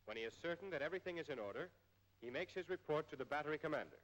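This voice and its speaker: An American man reading scripted instructional narration in a formal, measured way, as in an old military training film. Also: fairly high pitch, expressive, fairly bright tone, low-quality recording.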